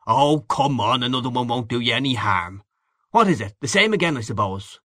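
A man's voice: medium pitch, expressive, brisk, sharp tone, no echo, clean studio sound. A man voicing a brash, worldly Irishman in an audiobook, boastful and hearty, patronising an old friend with emphatic delivery. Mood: hearty, pressing. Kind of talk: literary audiobook character dialogue.

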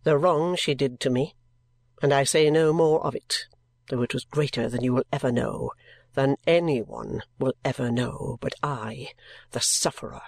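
A reader voicing a stern, bitter woman godmother who speaks slowly in a cold, low voice. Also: a little mellow, dry recording, studio quality, close to an everyday voice. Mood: coldly stern. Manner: slow, grave, unforgiving.

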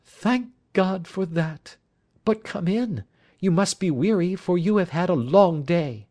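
A man's voice: high voice, animated, takes it slowly, dark tone, voice pushed up. A man voicing an anxious, earnest married woman in an audiobook, speaking politely and directly. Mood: relieved, gracious. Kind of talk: audiobook character voice.

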